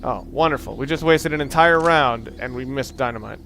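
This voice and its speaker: A man's voice: medium pitch, creaky, sharp tone. Man doing casual game commentary over music. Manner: rambling, halting self-corrections. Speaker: let's play host. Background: video game music.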